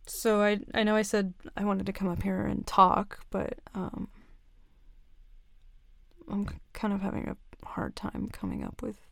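A young woman playing a shy, nervous tomboy best friend confessing a crush in an intimate audio roleplay, hesitant and halting. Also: medium pitch, level tone, vocal fry, fairly bright tone, dry recording, clean studio sound. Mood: nervous, longing.

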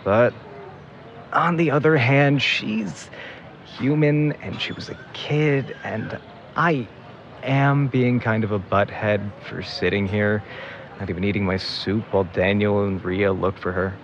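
A man plays a young big brother in an audio drama, guilty and defensive. His voice sits a little low and he rambles hesitantly. The sound is muffled, with clear background noise. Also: measured pace. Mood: guilty, conflicted. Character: grumbling, guilty big brother dragged into a search. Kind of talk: audio drama monologue, naturalistic.

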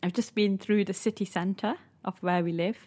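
A woman's voice: mid-range voice, steady tone, normal speed, a little mellow. A woman with a Manchester accent, co-hosting a podcast in casual chat and laughing often. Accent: Mancunian. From England. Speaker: podcast co-host.

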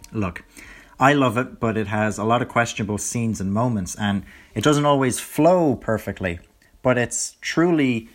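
Hoarse man chatting casually. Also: fairly low pitch. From Ireland. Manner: rambling, self-correcting, chatty. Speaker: podcast host. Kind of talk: casual solo podcast chat.

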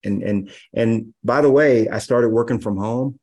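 A man in his fifties, a guest on a podcast, telling stories in a relaxed, rambling way with many fillers. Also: medium pitch, unhurried. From Los Angeles area, USA. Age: 50s. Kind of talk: casual storytelling interview.

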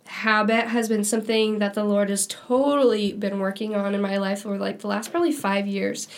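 A woman's voice: medium pitch, little pitch movement, brisk. A young woman co-hosting a casual faith-based podcast, sounding nasal from a cold and talking in a chatty way full of "like" and "um". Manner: chatty, lots of "like" and "um". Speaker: podcast co-host.

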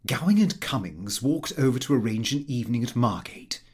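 A man reading a comic first-person diary as an audiobook, in a prim, expressive, self-important manner. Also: medium pitch, a little fast, slightly rough. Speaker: audiobook narrator.

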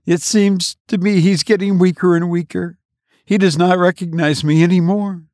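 A man voicing a shrill, desperate wife. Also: steady tone, normal speed, darkish tone, no room sound, studio quality, lightly acted. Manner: pleading, shrill outbursts. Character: distraught middle-aged wife, vengeful and desperate. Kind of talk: audiobook character voice.

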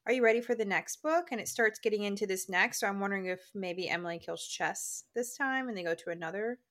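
A woman with a high-pitched, childlike voice co-hosting a casual book club podcast, emphatic and exasperated. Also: quick pace, fairly bright tone. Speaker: podcast co-host.

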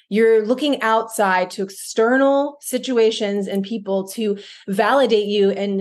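A woman co-hosting a podcast, talking in an animated, emphatic way and often laughing. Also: medium pitch. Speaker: podcast co-host. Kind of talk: casual podcast chat.

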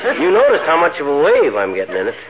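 An actor playing a folksy, blustery, vain middle-aged husband in a 1940s radio comedy. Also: male voice, a little high, creaky, exaggerated voice. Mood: vain, pleased. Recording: muffled, noisy old radio broadcast, studio audience.

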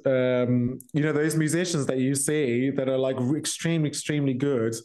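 Reflective British man, halting delivery. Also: mid-range voice, steady tone, darkish tone. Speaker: musician, interview guest. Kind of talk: candid podcast interview.

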